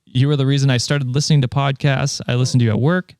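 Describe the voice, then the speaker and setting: A man's voice: mid-range voice, fairly even intonation, fast, darkish tone, dry recording, clean studio sound. A young man co-hosting a podcast, reading listener reviews in a casual, laughing way with lots of "uh" and "um". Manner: laughs often, fills with "uh" and "um". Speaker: podcast co-host.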